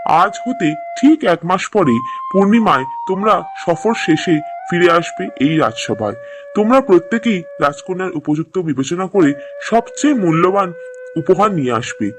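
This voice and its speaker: A man voicing a solemn, fatherly old king in an animated fairy tale, with a stately, declamatory delivery. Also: high-pitched, moderate pace. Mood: stately, commanding. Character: solemn fatherly old king seeking a groom for his daughter.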